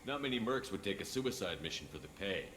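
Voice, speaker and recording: An actor playing a no-nonsense military commander in a sci-fi game, terse and authoritative. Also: male voice, fairly low pitch, expressive, fast talker, a bit bright. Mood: firm, businesslike. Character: decisive, no-nonsense male military space commander. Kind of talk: video game dialogue. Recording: long echo, music behind.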